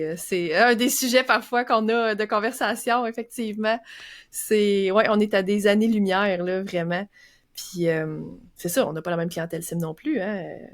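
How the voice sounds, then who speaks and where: A woman's voice: medium pitch, moderate pace. A woman podcast host with a Québécois French accent, chatting casually with hesitant fillers. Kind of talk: casual conversational interview.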